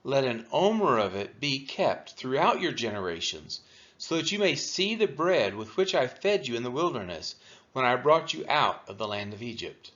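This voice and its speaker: A man's voice: medium pitch, big pitch swings, normal speed, a bit bright. A man reading scripture aloud expressively, as a reader for a church. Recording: dull, lo-fi sound.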